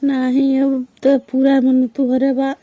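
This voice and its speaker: High, mellow Bhojpuri village wife played by an actress. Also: female voice, completely flat delivery, slow, dry recording. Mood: coaxing. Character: discontented village wife plotting with secret lover. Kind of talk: casual phone conversation in rural dialect.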